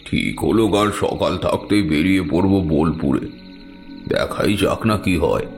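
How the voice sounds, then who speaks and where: A man's voice: low-pitched, a little slow, creaky, soft background music. A man narrating a first-person horror story in a dramatic, scripted audio drama style.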